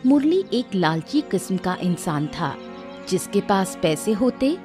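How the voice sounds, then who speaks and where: A woman narrating a scripted moral story as a storyteller. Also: lowish voice. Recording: music behind the voice.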